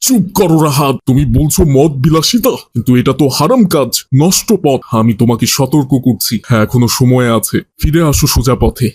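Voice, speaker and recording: A man playing a stern, dignified father-in-law, an older wealthy patriarch, speaking gravely and deliberately in a moral cartoon. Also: mid-range voice, animated, a little mellow. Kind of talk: Bengali moral cartoon voice acting.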